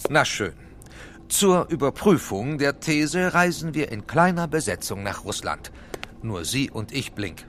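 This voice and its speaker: An actor playing a curt, commanding police chief in a children's radio play, giving formal, clipped orders. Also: male voice, medium pitch, sing-song, unhurried, fairly bright tone, slight background noise. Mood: curt, considering.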